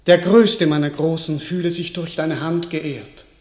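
A man's voice: fairly high pitch, fairly even intonation, close to an everyday voice. A man playing a stately grateful king. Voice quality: stately, measured. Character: grateful, gracious young king. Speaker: actor in a radio play. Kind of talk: classic verse radio drama.

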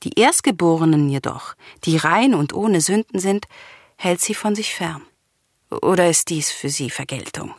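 Grave, low-voiced vengeful princess. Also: female voice, animated, no echo, clean studio sound. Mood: bitter accusation. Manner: grave, deliberate, formal declamation. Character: bitter, unyielding young princess seeking vengeance. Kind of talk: classical tragedy radio drama.

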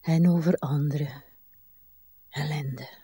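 An actress playing a weary, wistful old woman in a literary radio drama, speaking haltingly and pensively, with sighs. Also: deep voice, steady tone, warm tone, husky, dry recording, heavily acted. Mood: haunted, intense. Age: older. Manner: slow, halting, pensive delivery with drawn-out sighs. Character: weary, wistful, brooding old Irish countrywoman, a wife.

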